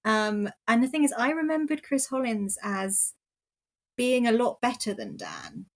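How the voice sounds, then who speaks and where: A woman's voice: on the high side, big pitch swings, a little slow. Chatty British woman on a panel. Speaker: podcast panellist. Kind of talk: casual chat.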